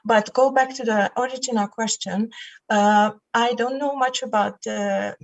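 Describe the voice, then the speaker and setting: A woman, an election candidate, answering calmly in an online panel debate, pausing often with fillers. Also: on the high side. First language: not Finnish. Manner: measured, with many fillers. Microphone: video call. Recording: muffled video call audio.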